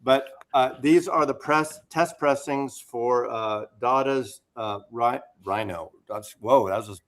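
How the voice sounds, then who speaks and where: Rambling mid-pitched man. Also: slow pace, fairly bright tone. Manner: rambling, self-deprecating. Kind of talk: casual chat.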